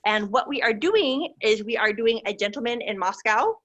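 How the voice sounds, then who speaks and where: A woman's voice: a little high, animated, moderate pace, fairly bright tone, lo-fi sound. A woman presenting a group dramatic reading in an informal spoken introduction.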